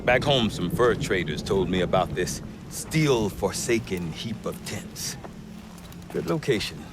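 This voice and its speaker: An actor playing a gruff middle-aged trader who talks with dry humor. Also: male voice, sits low, unhurried, background noise. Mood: wry. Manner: wry, dry humor, lively delivery. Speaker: merchant trader. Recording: game cutscene with music behind.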